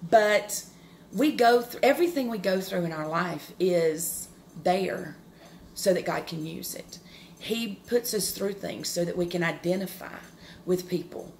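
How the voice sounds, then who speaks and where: A woman in her forties with a loud, carrying voice, giving a personal devotional talk, earnest and open, with pauses and restarts. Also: fairly low pitch, expressive, a little hiss or hum. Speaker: devotional speaker.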